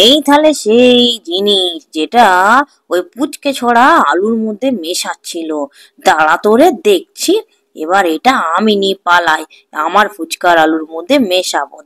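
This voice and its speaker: Woman acting jealous muttering street vendor, lowered voice. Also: fairly bright tone. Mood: gloating, scheming. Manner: muttering to himself, plotting. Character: jealous, scheming male street-food vendor. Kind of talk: children's cartoon fairy tale.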